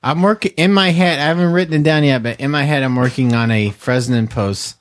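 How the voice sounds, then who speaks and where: A man's voice: mid-range voice, fairly quick. Jokey man on a casual podcast. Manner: jokey, laughs often, swears. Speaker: podcast co-host. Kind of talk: casual chat.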